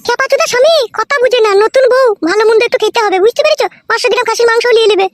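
A woman voicing a bossy, fussing, sharp-tongued young village wife in a comedy cartoon, with a rural West Bengal accent. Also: very high voice, very fast, no echo, clean studio sound. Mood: scolding. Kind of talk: comic cartoon dialogue.

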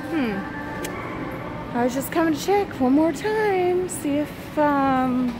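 A woman filming a walking vlog through an empty mall on her phone, musing aloud in a wistful way with soft hums. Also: high voice, lively intonation, slow pace, over music, poor audio. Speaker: vlogger. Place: inside an empty shopping mall. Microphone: phone camera held while walking.

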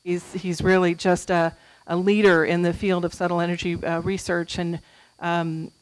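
A woman's voice: on the low side, little pitch movement, moderate pace, low-quality recording. A middle-aged woman hosting an event, enthusiastically introducing the guest speaker with many fillers. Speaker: event host. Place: small meeting room. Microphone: handheld mic.

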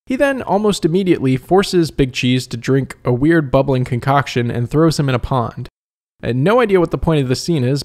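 A man's voice: medium pitch, a little mellow. A man narrating a scripted review as a video host, conversational with wry asides.

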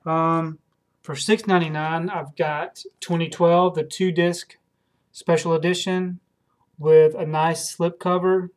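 Flat-voiced man with many ums. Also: on the high side, takes it slowly. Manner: hesitant, many ums and repeated words. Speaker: video host, collector. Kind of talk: casual haul video. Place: home room with shelves of films. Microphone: webcam at desk.

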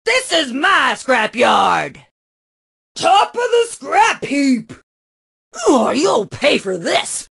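A brash, motherly scrapyard mechanic from a video game, tough and bossy. She delivers her one-liners slowly with a gravelly rasp, swinging high and low in a lively, high voice. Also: shouting, heavily acted.

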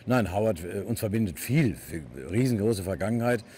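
A man rambles through a video greeting, filling pauses with fillers. His low voice sounds mellow, with faint noise behind him. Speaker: fellow singer. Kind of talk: video tribute message.

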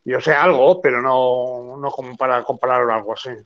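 A man's voice: mid-range voice, steady tone, fairly quick. A man hosting a live debate on a video call, reading out viewer questions and answering firmly. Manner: firm, dismissive of viewer questions. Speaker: host of a debate show. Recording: dull video call audio.

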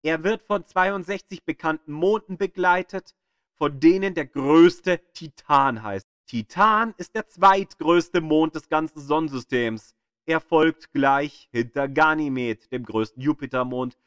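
A man reading scripted educational narration in a measured, storytelling way with dramatic emphasis. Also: fairly high pitch, a bit bright, smooth, no echo, clean studio sound. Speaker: narrator of an audio tour of the solar system. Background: music.

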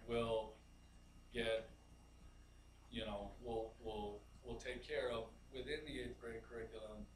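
A man, a math teacher, presents slides to a school board in a halting way, with many fillers and restarts. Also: on the low side. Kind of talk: slide presentation at a public meeting. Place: large school library meeting room. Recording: echoey room from far off with background noise.

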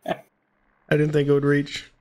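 A young man chatting casually over a game, crude, joking and excitable. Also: fairly high pitch, normal speed. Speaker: gamer, video creator. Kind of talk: casual gaming chat.

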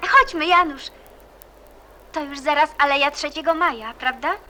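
A woman's voice: high voice, moderate pace, bright, forceful tone, faint music behind. A woman acting an eager, frank, cheerful teenage girl in a radio play, earnest, inquisitive and plain-spoken. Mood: brisk, questioning. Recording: noticeable background noise and ambience.

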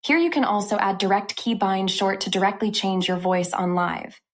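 Woman narrating a software review. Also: mid-range voice, normal speed. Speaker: tech review channel host.

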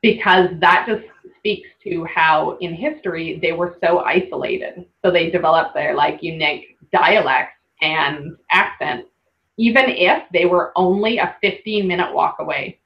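A bubbly woman talks through a slideshow in a loose, chatty way, sprinkling in lots of "like" and quick laughs. Her voice sits a little low. The web call audio is dull and lo-fi. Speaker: workshop host presenting slides. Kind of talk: casual webinar presentation.

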